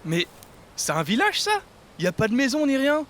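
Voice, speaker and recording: A man's voice: very high-pitched, sing-song, normal speed, a bit bright, slight background noise, heavily acted. A man playing a naive, easily confused, good-natured space traveler in a French audio drama, hesitant and puzzled.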